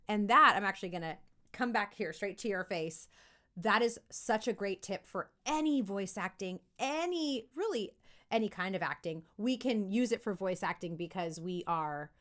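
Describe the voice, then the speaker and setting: A woman's voice: medium pitch, lively intonation, normal speed, fairly bright tone. A woman giving an informal lesson as a voice acting coach for kids, encouraging and emphatic as she demonstrates. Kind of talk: informal instructional video aimed at kids.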